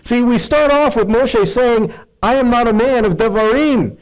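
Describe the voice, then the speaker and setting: A man's voice: very high-pitched, moderate pace, rough recording. A man giving a conversational Bible teaching, speaking emphatically, quoting and paraphrasing dialogue and checking in with his listeners. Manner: emphatic, quotes and paraphrases dialogue, rhetorical asides and check-ins. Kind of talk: conversational scripture teaching, sermon.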